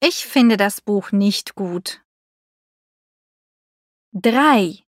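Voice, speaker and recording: A woman speaking short scripted lines for a language listening test, pronouncing each word carefully and deliberately. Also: medium pitch, animated, no room sound, clean studio sound. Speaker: speaker in exam recordings.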